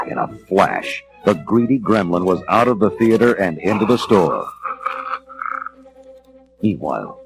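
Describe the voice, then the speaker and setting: A man narrating a children's storybook dramatically, with theatrical, measured delivery. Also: low voice, gravelly, poor audio.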